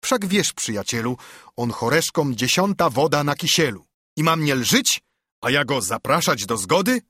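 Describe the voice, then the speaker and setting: Guy doing a hot-headed stubborn judge from an old verse tale, blustery, bit husky, dry close sound. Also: mid-range voice, lively intonation, measured pace, no echo. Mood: exasperated. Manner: shouting, blustering, exclamatory. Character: hot-tempered stubborn country judge, indignant gentleman. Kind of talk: dramatised audiobook reading of verse.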